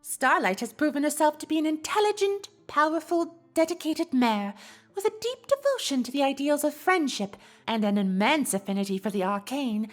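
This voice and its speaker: A woman's voice: high voice, expressive, moderate pace, soft background music. A woman playing a refined, teasing, gossipy female pony with theatrical, genteel phrasing in a cartoon fan audio drama. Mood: sly, teasing.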